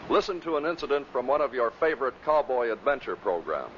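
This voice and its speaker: A man narrates from a script in a medium-pitched voice. The old recording is dull, with faint noise beneath his words. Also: lively intonation, fast. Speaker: narrator introducing radio bloopers.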